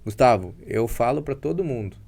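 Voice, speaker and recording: A man's voice: mid-range voice, expressive, a little fast, gravelly, a little mellow. A man talking casually as a podcast guest, telling anecdotes from his work. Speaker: podcast guest, dental sales mentor.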